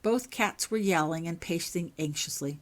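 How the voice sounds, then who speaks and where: A woman's voice: on the low side, normal speed. A woman narrating a scripted story aloud in an audiobook-like reading. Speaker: author reading own fan fiction aloud.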